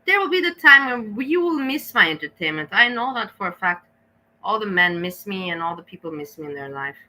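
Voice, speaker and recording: A woman's voice: mid-range voice, sing-song, a little fast, sharp tone, low-quality recording. A woman with an Austrian accent giving a profane, scolding rant while reacting to clips. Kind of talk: ranting reaction commentary.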